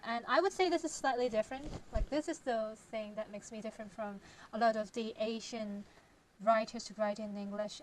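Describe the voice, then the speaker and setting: A woman's voice: mid-range voice, slight background noise, low-quality recording. A young woman with a Cantonese accent, a writer chatting on her vlog, thinking aloud and sometimes dropping to a whisper. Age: young adult. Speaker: vlogger and novelist. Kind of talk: casual vlog, reading aloud.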